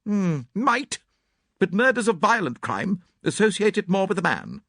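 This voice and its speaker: A man voicing a blunt, no-nonsense middle-aged woman in a storybook reading, clipped and curt. Her lines are pitched well above his own voice and jump around widely. It is a dry, close recording. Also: huge pitch swings, moderate pace, fairly bright tone, no echo, full character voice. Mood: brusque. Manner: clipped and curt, drops words.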